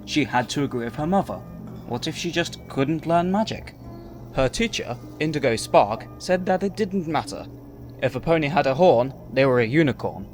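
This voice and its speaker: A man's voice: a little high, expressive, normal speed, a little mellow, faint music behind, lightly acted. A man delivering scripted storybook narration as the narrator of an audio drama.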